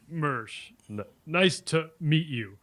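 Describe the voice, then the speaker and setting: A man's voice: on the high side, animated, drawn-out words, fairly bright tone. A male AI chatbot voice that stammers and repeats its words while talking with podcast hosts. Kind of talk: voice assistant chat.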